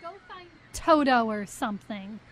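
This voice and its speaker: A woman's voice: on the high side, expressive, measured pace, a little hiss or hum. A young woman acting a vain, whiny, dim beach-show diva in a student radio comedy. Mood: snotty, offended. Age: young adult. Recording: live radio studio, music behind.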